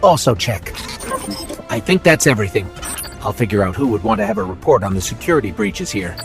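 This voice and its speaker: A man's voice: on the low side, sing-song, fairly quick, music in the background. A man voicing a small robotic game companion, earnest, curious and a little anxious, talking in a chatty, questioning way. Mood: alert, businesslike. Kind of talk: scripted game dialogue.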